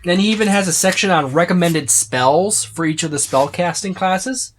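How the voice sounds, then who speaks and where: The voice belongs to a tabletop game reviewer giving an unscripted overview. It sits at a middling pitch. The delivery is casual and rambling, circling back and listing items as it goes. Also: moderate pace, a little hiss or hum. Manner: rambling, repeats and lists items.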